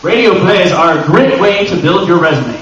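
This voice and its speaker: A man giving an informal, joking panel talk to a live audience, animated and given to acting out little imagined exchanges. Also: high voice, normal speed. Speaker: convention panel presenter and amateur voice actor. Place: indoor convention panel room. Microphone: camera microphone at a distance. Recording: echoey room, distant mic, dull noisy audio.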